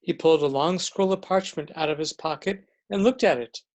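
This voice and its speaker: A man with a fairly high voice serves as narrator. He reads scripted storybook lines for a readers theater piece. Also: a little fast, darkish tone.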